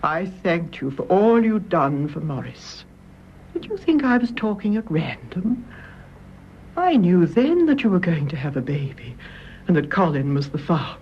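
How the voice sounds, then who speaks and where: Radio drama: dry, forgiving elderly English lady, low register, slightly muffled recording. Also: lively intonation, a little slow, slight background noise. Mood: dry, understanding. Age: older. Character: composed, shrewd, forgiving elderly mother-in-law. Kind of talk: British radio drama acting.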